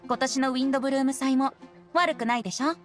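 A woman voicing a playful, gentle boyish bard in a Japanese anime game. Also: sits high, quick pace, faint music behind, lightly acted. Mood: friendly, inviting.

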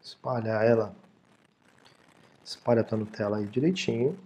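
Guy in his 30s walking you through a recipe, mellow warm voice, chatty, keeps checking in. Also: on the low side, moderate pace. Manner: friendly, chatty, keeps asking "tá, gente?". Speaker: cooking show host. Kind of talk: casual cooking demonstration. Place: small home-style studio kitchen, indoors.

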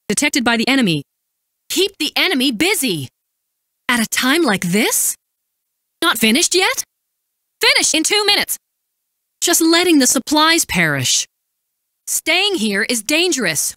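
An actress playing a composed, dutiful woman military supply officer in a video game, giving crisp, formal, commanding briefings and callouts. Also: fairly high pitch, sharp tone, no echo, studio quality, exaggerated voice. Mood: urgent.

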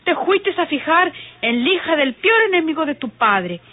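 Tinny radio soap, brash mexican woman, high husky voice, sardonic. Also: big pitch swings, moderate pace. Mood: amused, familiar. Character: brash, bitter woman of the night streets. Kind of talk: old Mexican radio drama acting. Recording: tinny old radio recording.

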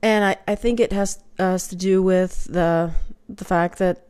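A woman's voice: fairly low pitch, steady tone, unhurried, darkish tone, smooth. A middle-aged woman with a light Texas accent talking casually into a webcam at home, chatty and self-deprecating, with lots of fillers. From Texas, USA. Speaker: vlogger. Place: small home room with shelves, indoors. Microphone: webcam at desk.

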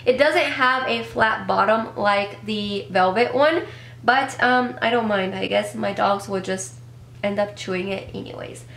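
A woman's voice: mid-range voice, a little slow, gravelly, fairly bright tone. A young woman in her twenties chatting casually to camera in a product review, a bit congested from a cold, upbeat and rambling with little self-corrections. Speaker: beauty vlogger. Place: small home room with decor shelves. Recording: echoey room with faint hum.

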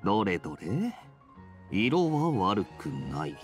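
A suave young knight from an anime game. He is smooth and wryly playful, with very lively pitch swings over background music. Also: slow pace, exaggerated voice. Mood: casual. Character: suave, teasing young male knight, relaxed. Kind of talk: Japanese anime game voice acting.

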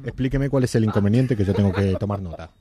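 Man, rapid delivery, brisk and insistent, deadpan radio prankster. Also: on the low side. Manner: brisk, insistent, straight-faced. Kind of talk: deadpan prank phone call posing as officials.

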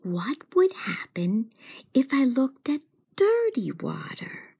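Woman voicing an excitable, curious young boy, high-pitched. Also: slow pace, breathy edge, no room sound, clean studio sound, full character voice. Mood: wondering. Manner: wondering, excitable.

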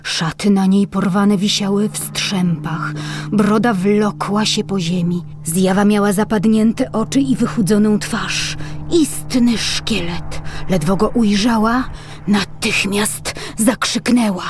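A woman narrating a fairy tale dramatically as a storyteller, in a husky voice. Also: mid-range voice, fairly even intonation, takes it slowly, soft background music.

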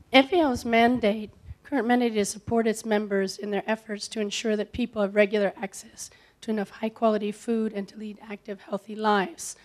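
A woman speaking as a conference panelist, hoarse from a cold, answering in a measured way and often clearing her throat. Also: medium pitch, fairly even intonation, darkish tone. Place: conference hall.

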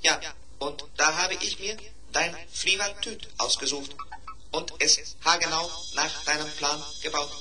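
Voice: mid-range voice, fairly even intonation, unhurried, a crowd in the background, natural voice. An actor playing a polite, earnest schoolboy robot in a 1970s German children's radio play, speaking precisely.

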